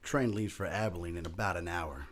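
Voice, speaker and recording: Surly gunman, played by a man: deep American voice, curt and defiant, old-time radio western. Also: fairly quick, a little hiss or hum, close to an everyday voice. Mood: guarded.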